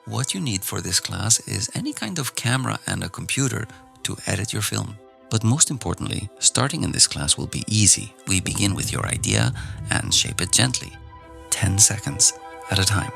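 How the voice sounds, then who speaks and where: Man from Iceland, film teacher, very gravelly, lowish voice, relaxed and encouraging, faint music behind. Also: moderate pace. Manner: relaxed, gently encouraging, with fillers when talking off the cuff. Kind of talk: online class lesson. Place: outdoors in nature. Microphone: phone recording nearby.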